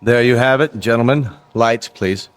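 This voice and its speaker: A man's voice: medium pitch, moderate pace, close to an everyday voice. A man playing a composed, authoritative senior diplomat in a radio drama, formal and assured as he chairs. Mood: firm, reassuring. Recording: faint background noise, clicks.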